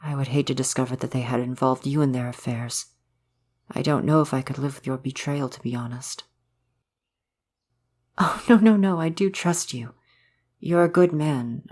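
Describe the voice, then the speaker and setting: A man acts out a male forest spirit in a soft romantic ASMR audio drama. He is gentle and curious about humans. His phrasing is formal, polite and old-fashioned, like that of a courtly woodland being. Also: fairly high pitch. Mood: wary, earnest.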